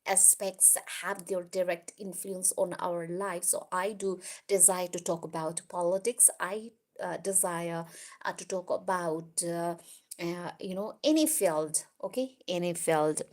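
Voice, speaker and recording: A woman from Nepal, hosting a live stream as a motivational speaker, talking in a halting, grandiose way with many fillers. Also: on the low side, fairly even intonation, darkish tone. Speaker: livestream host, motivational speaker. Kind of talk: live-stream monologue greeting viewers.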